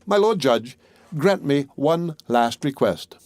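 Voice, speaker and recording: A man's voice: fairly high pitch, expressive, slow, darkish tone, no room sound. A man voicing an honest, merry countryman in a fairy tale, plain-spoken in manner. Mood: earnest request. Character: honest, kind male countryman, merry and bold. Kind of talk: fairy tale audiobook character voice.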